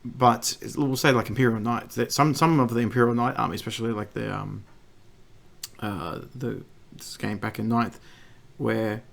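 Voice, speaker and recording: Croaky Australian man hosting a podcast. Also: mid-range voice, fairly even intonation, normal speed. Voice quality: gravelly. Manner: stammering restarts, laughs often. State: croaky from a recent cold. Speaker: podcast host. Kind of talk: casual podcast chat.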